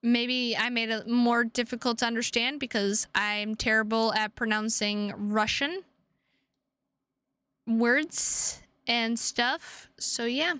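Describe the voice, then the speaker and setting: A tired woman chatting casually to her livestream viewers in a halting, rambling way with drawn-out words. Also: on the high side, fairly even intonation, a bit bright, smooth, no room sound. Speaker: streamer.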